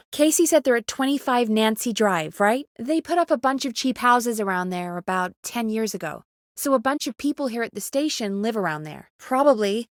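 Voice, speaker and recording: An actress playing a young female radio producer in a video game, with a high voice and a close, dry sound. She is earnest and capable but anxious, speaking naturally with small hesitant asides. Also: dry recording, natural voice.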